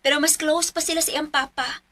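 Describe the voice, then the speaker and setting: A woman's voice: high voice, fairly even intonation, brisk, sharp tone. An actress with a Filipino accent playing a cheerful, chatty young wife and mother in a Bisaya radio drama. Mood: cheerful, teasing. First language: Cebuano.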